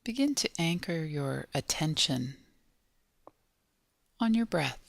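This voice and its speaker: A woman leading a guided body scan meditation in a gentle, measured manner, leaving long pauses between short phrases. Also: deep voice, animated, vocal fry, no echo.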